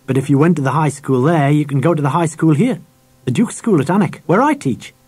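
Man playing an emphatic, talkative schoolmaster, pitched up. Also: expressive, brisk, darkish tone, slightly rough. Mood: brisk, reassuring. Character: kindly, talkative middle-aged male schoolmaster, opinionated and brisk.

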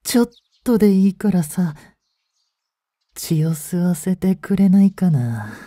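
A man acting a languid, very mellow vampire little brother. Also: high-pitched, animated, unhurried, no echo, clean studio sound. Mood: coaxing, menacing. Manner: coaxing, languid, politely menacing. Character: soft-spoken, teasing, hungry vampire younger brother. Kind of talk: Japanese romance voice drama acting.

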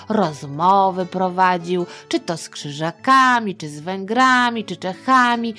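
A woman podcast host telling history stories for children in a chatty, playful, expressive way with little asides to the listener. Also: medium pitch. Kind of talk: informal history storytelling for children.

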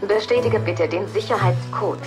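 A woman's voice: mid-range voice, normal speed, natural voice. A woman voicing an obedient, emotionless smart speaker in a TV drama, giving clipped, polite confirmations. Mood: neutral. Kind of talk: TV drama voice assistant. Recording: echoey, with loud music behind.

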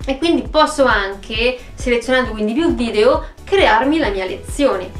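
A woman talks in a fairly high voice, chatty and expressive. She sounds like a vlogger addressing the camera casually from home. The room rings noticeably around her, and some music plays behind. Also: a little slow. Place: small room at home, indoors. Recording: roomy, echoey home recording.

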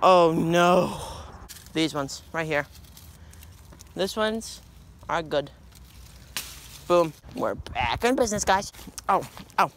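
A man's voice: on the high side, lively intonation, takes it slowly, pauses often, a bit bright, slight background noise. Goofy teen boy rambling outdoors. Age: teens. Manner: joking, rambling, playful. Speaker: vlogger. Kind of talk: goofy walking vlog. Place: outdoors on a quiet street. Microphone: phone at arm's length.

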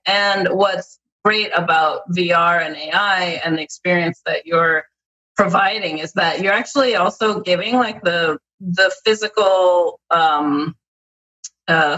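A woman's voice: fairly low pitch, fairly bright tone, breathy edge, highs cut off. A woman in her thirties hosting a podcast over a video call with headphones on, musing thoughtfully with restarts and fillers. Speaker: podcast host. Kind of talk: casual interview chat. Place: indoor room at home.

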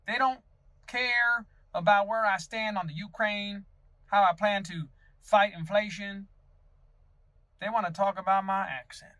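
Man acting a Southern US political candidate, high male voice, folksy drawl, pompous, vain, aggrieved, mock campaign video, dry close recording. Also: pushed and bright, no room sound. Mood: folksy, earnest, then indignant. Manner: theatrical drawl, pompous, dropping into breathy seductive asides. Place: inside a parked car.

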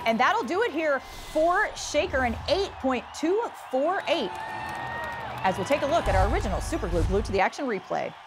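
A woman's voice: sits high, very animated, moderate pace, music in the background, a crowd in the background. A woman doing upbeat play-by-play commentary on a motorsport show, with engines behind her. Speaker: sports commentator. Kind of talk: arena sports commentary.

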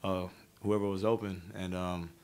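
A man's voice: low voice, flat delivery, moderate pace, darkish tone, smooth. A young man, a college basketball player, answering reporters at a press conference in a laid-back, easygoing way. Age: young adult.

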